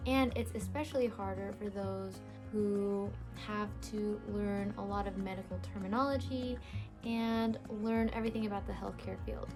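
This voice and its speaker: A woman's voice: medium pitch, steady tone, normal speed, over music. A young woman hosting a vlog about her sonography career, talking straight to her viewers in an upbeat, encouraging way. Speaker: host, sonographer.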